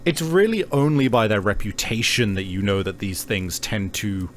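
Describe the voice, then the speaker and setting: Guy running a tabletop rpg, lowish voice, describes scenes haltingly, bit of background noise. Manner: halting, conversational descriptive storytelling. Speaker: game master. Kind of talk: narrating a tabletop roleplaying game.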